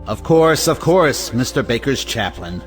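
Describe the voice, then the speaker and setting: A man's voice: mid-range voice, very animated, unhurried, soft background music. A man playing a self-righteous, pompous clergyman in an audio play. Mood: righteous scorn. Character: sanctimonious, self-righteous clergyman in society comedy. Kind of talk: audio stage play acting.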